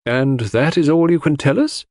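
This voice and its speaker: A man voicing a courteous but stern male coroner in an audiobook, asking formal, measured questions. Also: on the high side, a little mellow, no room sound, studio quality, voice pushed up, natural voice. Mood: probing. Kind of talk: audiobook character dialogue.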